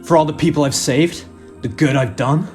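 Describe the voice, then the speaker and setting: A man's voice: fairly high pitch, normal speed, a little mellow, soft background music, natural voice. A man playing a stubborn, guilt-ridden superhero father in a radio play, earnest and defensive.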